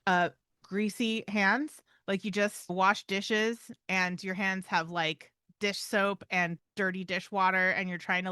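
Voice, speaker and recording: Bright-voiced woman podcast co-host, close dry sound. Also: medium pitch, no room sound. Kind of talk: conversational pet-behaviour podcast talk.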